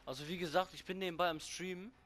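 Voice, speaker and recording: A young man giving casual, excitable commentary while playing a racing game, swearing freely and bursting into shouts. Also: high-pitched, fast, soft background music. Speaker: gaming co-host (Max).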